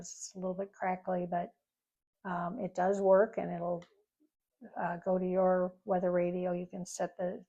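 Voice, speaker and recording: A woman's voice: mid-range voice, creaky, mellow, highs cut off. A woman giving a casual, informal product review, explaining features in a rambling, conversational way.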